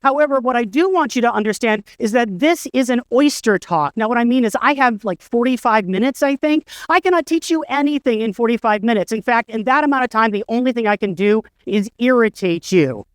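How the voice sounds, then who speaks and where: A man's voice: very high-pitched, lively intonation, brisk, hardly any pauses, smooth, no echo. A middle-aged man giving a conference talk, telling stories with wry, self-deprecating humor. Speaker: conference speaker. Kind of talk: storytelling conference keynote. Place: conference stage, indoors.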